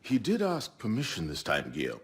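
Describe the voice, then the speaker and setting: Deep, stern brotherhood mentor. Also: male voice, huge pitch swings, fairly quick, slightly rough, heavily acted. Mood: stern, measured. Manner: formal, authoritative. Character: stern, commanding senior male mentor of an assassin brotherhood. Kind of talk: dramatic game voice acting. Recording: music behind the voice.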